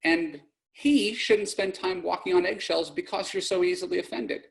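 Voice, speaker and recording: A man's voice: sits high, moderate pace, creaky. Blunt male dating coach host. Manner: blunt, plain-spoken, self-correcting. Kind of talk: podcast monologue and call-in coaching.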